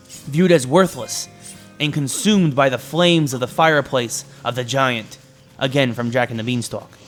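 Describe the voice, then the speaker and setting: A man narrates a scripted video game review in an emphatic presenter's delivery. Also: fairly high pitch. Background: background music.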